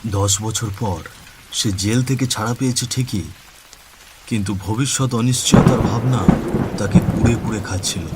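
Expressive male horror story narrator. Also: mid-range voice, a little hiss or hum.

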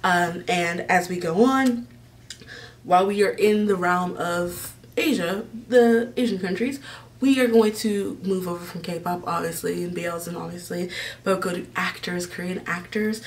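Low-pitched gushing American woman. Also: a little slow, recorded from a distance. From Maryland, United States. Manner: gushing, rambling. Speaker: vlog host. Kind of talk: casual chat to camera. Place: small decorated room indoors.

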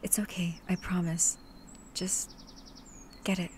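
Woman playing tender female monster hunter, ASMR roleplay. Lowish, soft, unhurried, coaxing, protective. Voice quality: soft, breathy whispering at times. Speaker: voice actor. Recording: steady ambient noise with birdsong.

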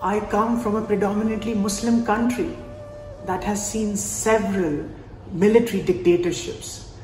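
A man's voice: sits high, fairly even intonation, moderate pace, darkish tone. A man in his thirties, an actor, giving a dramatic scripted reading. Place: indoor room. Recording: echoey reverberant room, music behind.

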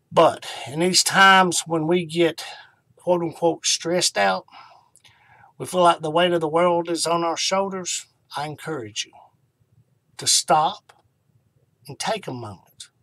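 A middle-aged man hosting an online ministry, talking informally and devotionally to camera in an unhurried way, with emphatic pauses and repeated key phrases. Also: a little high, vocal fry, fairly bright tone, dry recording.

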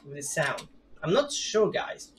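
A man's voice: on the high side, very animated, measured pace, a little hiss or hum. A man in his thirties casually commentating a strategy game from a gaming chair at home, rambling and laughing at himself. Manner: rambling, chatty, self-deprecating, laughs often. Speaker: gaming streamer. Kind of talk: casual let's play commentary.

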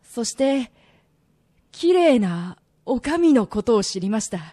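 A woman acts an earnest young merchant in a radio drama. The voice is high for the part, and he confesses his wayward past with remorse and feeling. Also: very animated, takes it slowly, dark tone, exaggerated voice. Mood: earnest, restrained. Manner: confessional storytelling that swells with emotion. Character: reformed young merchant man, earnest, remorseful, pleading son.

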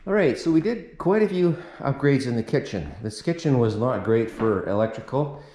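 A man's voice: medium pitch, animated, normal speed, mellow, a little hiss or hum. A middle-aged man casually walking through a home renovation, explaining the electrical work with lots of fillers and restarts. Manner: unscripted, many fillers and restarts, pointing things out. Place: empty room of a mobile home under renovation, bare drywall. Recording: echoey bare room.

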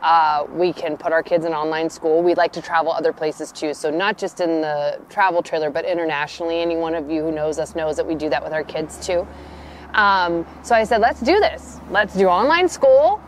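A woman's voice: low-pitched, moderate pace, slight background noise. A woman in her thirties co-hosting a family travel vlog, speaking with upbeat enthusiasm while seated outdoors beside a travel trailer. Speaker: vlog co-host. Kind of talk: casual travel chat. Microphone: camera at a distance.

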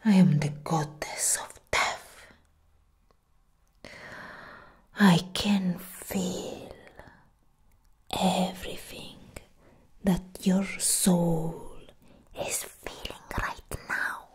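A young woman playing a proud, menacing goddess of death in a soft-spoken ASMR roleplay, breathy and whispered, close to the mic. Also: lowish voice, fairly even intonation, heavily acted. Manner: slow, deliberate, menacing. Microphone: close ASMR microphone.

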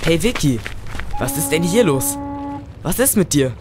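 A man's voice: on the high side, very animated, measured pace, music in the background. A man playing a concerned, familiar friend in an audio drama. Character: concerned male friend of the heroine. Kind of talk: German audio drama acting.

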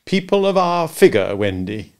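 Cocky mock-pompous boy, read by a man, loud and hearty. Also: fairly high pitch, very animated, moderate pace, voice pushed up. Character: cocky boy playing a grand father.

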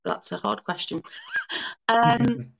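A woman's voice: medium pitch, slow pace, creaky, fairly bright tone. A woman in her forties from Wales, speaking as a podcast guest from her lounge, explaining her story at length with small restarts and self-corrections. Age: 40s. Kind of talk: casual conversational interview. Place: home lounge. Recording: dull lo-fi call audio.

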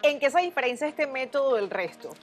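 A woman's voice: sits high, animated, a little fast, faint music behind. A woman interviewing a guest in a TV studio, asking questions in a prompting, upbeat way. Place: TV studio set.

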